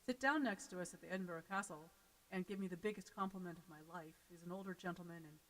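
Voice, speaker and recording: An American woman from Seattle, a folk singer chatting casually between songs on her live stream, quick and self-deprecating. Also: fairly low pitch, fairly bright tone, long reverb tail, poor audio. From Seattle, United States.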